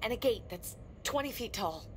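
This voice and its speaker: A woman's voice: on the high side, animated, normal speed, slight background noise, lightly acted. An actress playing a weary, frightened woman survivor in a video game drama, blunt and emotionally raw. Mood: determined, urgent. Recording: music behind the voice.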